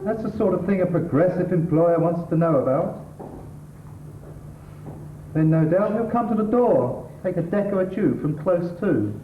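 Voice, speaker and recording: A man's voice: high voice, lively intonation, a little slow. A man acting a sardonic, exasperated middle-class English husband who lectures his wife in a stage comedy. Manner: exasperated, pedantic, dry sarcasm. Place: theatre stage. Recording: distant, echoey, tinny stage recording, hissy.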